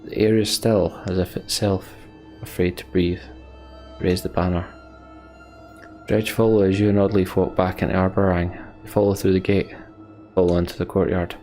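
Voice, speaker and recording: A man's voice: deep voice, level tone, normal speed, mellow, soft background music. A man hosting a let's play, reading story text aloud dramatically and adding sweary, emotional asides. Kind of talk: dramatic narration with casual asides.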